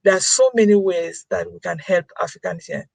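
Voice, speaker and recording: A woman's voice: on the low side, steady tone, brisk, gravelly, darkish tone, no room sound. Earnest woman presenting on a webinar. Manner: repeats key phrases for emphasis.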